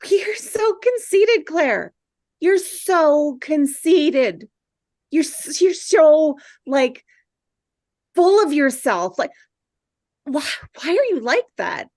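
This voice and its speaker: A woman reading the part of an earnest, insecure misfit teenage boy, halting and stammering, in a script table read. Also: high voice, big pitch swings, a little mellow, dry recording, studio quality, full character voice. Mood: upset, indignant.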